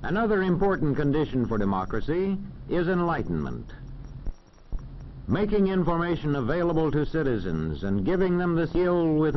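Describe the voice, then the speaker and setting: High-pitched, lively American male narrator. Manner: formal, measured, didactic. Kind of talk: 1940s educational film narration. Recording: dull, faintly noisy old film soundtrack.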